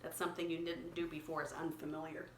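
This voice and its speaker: A woman reading a picture story aloud to a young child, pausing to explain words simply and prompt repetition. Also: sits low, level tone. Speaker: children's story reader and teacher. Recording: echoey room with clear background noise.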